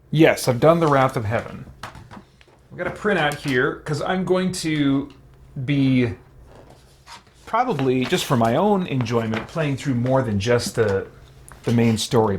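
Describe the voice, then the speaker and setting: A man's voice: medium pitch, a little hiss or hum. A man giving casual game playthrough commentary, rambling and thinking aloud as he goes. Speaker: gaming streamer. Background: quiet music.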